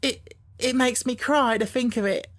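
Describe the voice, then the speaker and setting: Woman as a storybook servant girl, high-pitched, timid, breathless, deferential, awed. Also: moderate pace, natural voice. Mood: tearful gratitude. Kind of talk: audiobook character acting.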